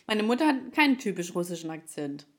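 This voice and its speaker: A woman's voice: fairly low pitch, big pitch swings, fairly quick. A young woman from Ukraine, co-hosting a casual chat podcast, teasing and playful in her talk. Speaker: podcast co-host.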